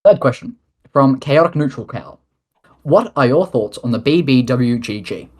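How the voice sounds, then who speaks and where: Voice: mid-range voice, lively intonation, moderate pace, a little mellow, slightly rough. A speaker taking submitted questions in a mock-formal political address, reading official statements with casual asides. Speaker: head of government of an online role-play nation.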